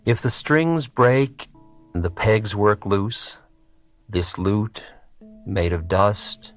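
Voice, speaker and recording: A man giving a meditative reading of spiritual poetry, with spacious phrasing and line endings that fall away quietly. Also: lowish voice, animated, very slow. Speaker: poetry reader. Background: soft guitar music.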